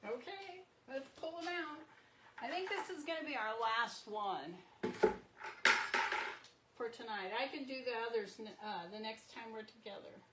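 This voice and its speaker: A middle-aged woman with a sniffly cold, chatting her way through a live craft demo and softly exclaiming as she works. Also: on the high side, animated, measured pace. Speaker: marbling artist streaming live. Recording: echoey room with faint background noise.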